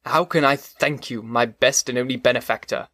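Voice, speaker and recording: A man's voice: medium pitch, steady tone, normal speed, pushed and bright, no room sound, natural voice. Outcast creature, fervent and pleading , as a man reads him, dry close sound. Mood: grateful. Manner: pleading, fervent old-fashioned speech. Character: lonely, pleading male creature, desperate for kindness.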